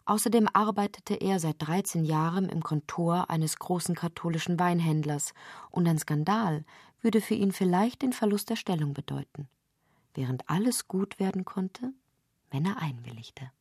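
A woman's voice: fairly low pitch, lively intonation, moderate pace, a little mellow, slightly rough, dry recording, clean studio sound. A woman reading literary prose as a scripted narrator.

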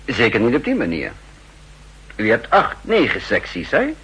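Very husky, shrewd spy chief. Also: male voice, medium pitch, wildly swooping pitch, moderate pace, a bit bright, exaggerated voice, poor audio. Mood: firm, brisk. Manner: pedantic, dryly ironic, in command. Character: shrewd, self-assured male chief of counter-espionage. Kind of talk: 1960s Dutch radio drama acting. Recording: old 1960s radio recording.

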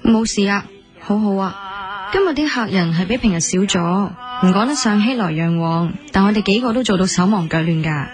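A woman's voice: mid-range voice, lively intonation, normal speed, close to an everyday voice. A woman acting in a radio drama with a Hong Kong Cantonese accent, playing a modest, articulate young student waitress who writes stories. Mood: polite, attentive. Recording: music bed under the voice.

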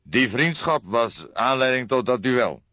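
A man's voice: mid-range voice, very animated, unhurried, sharp tone, voice pushed up, heavily acted. A man voicing an earnest, puzzled male police colleague in an audiobook, pressing for explanations in an insistent, emphatic way. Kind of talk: audiobook character dialogue. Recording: dull lo-fi audiobook, roomy echo.

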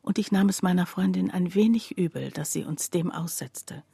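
A woman's voice: lowish voice, normal speed, vocal fry. A woman narrating a literary radio drama monologue, confiding and precise, as someone wryly recounting a poor friend's troubles.

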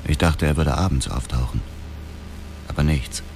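An actor playing a cold, brooding widower turned killer, narrating a radio drama in a detached, deliberate way. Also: male voice, rumbling low, darkish tone, slight background noise. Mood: matter-of-fact. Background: music.

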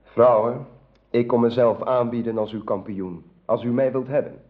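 A man's voice: medium pitch, fairly even intonation, moderate pace, close to an everyday voice. Male actor as devoted knight, formal, earnest, medium voice, radio drama, echoey, muffled recording. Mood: earnest devotion. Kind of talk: radio play acting. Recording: roomy, dull old radio drama recording.

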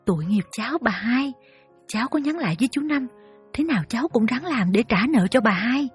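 A woman playing a timid, ashamed young daughter in an audio story, soft and husky with a Southern Vietnamese accent. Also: fairly high pitch, normal speed, long reverb tail, faint music behind, close to an everyday voice. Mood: pleading, ashamed. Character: timid, ashamed young daughter pleading over her debt. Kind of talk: audio story drama dialogue.

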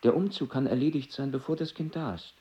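An actor playing an unworldly husband in a radio play, indifferent to politics. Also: male voice, medium pitch, fairly quick, mellow, dull audio, lightly acted.

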